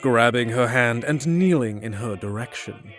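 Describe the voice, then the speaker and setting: A man's voice: medium pitch, lively intonation, moderate pace, a bit bright, faint music behind. A man narrating a scripted fantasy story in the third person.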